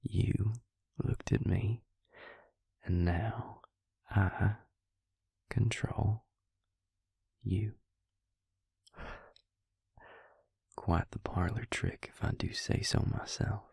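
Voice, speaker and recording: A man playing a devious, smug male vampire in an intimate audio roleplay, taunting his victim slowly in a breathy, gravelly whisper. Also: lowish voice, fairly even intonation, a little mellow, dry recording, exaggerated voice. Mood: smug, menacing. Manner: slow, taunting, self-satisfied.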